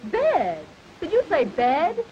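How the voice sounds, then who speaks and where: A brash, fun-loving burlesque girl from a 1930s film melodrama. Her voice is high and swings eagerly up and down, slangy and pushy. The soundtrack is scratchy and noisy. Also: huge pitch swings, slow pace, dull audio, heavily acted. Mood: wisecracking. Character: brash, fun-loving burlesque stripper, worldly young woman.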